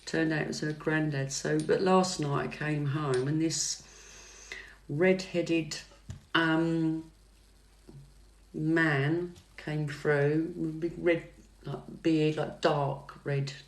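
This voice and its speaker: A middle-aged woman, a psychic tarot reader chatting on a livestream and answering comments in a soft, calming voice, hesitant with many fillers and restarts. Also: low-pitched, slow. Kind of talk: casual livestream chat answering viewer comments. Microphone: phone livestream mic.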